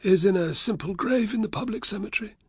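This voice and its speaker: A shrill, cowering fat Roman. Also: male voice, fast, husky, dry recording, studio quality. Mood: groveling. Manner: whining, pleading, trembling. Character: terrified fat middle-aged Roman uncle, cowering.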